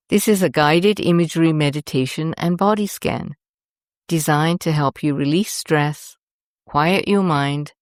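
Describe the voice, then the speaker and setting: Slow, low-pitched woman guiding meditation. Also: no echo, studio quality. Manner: soothing, deliberate, with long pauses between short phrases. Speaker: host and meditation guide. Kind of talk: scripted guided meditation narration.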